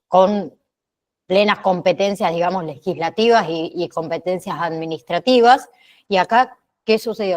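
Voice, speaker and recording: A woman lecturing as a course teacher, often tagging her phrases with short questioning check-ins. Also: mid-range voice. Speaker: teacher of an online pharmacy assistant course. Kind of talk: online lecture, explaining history to students.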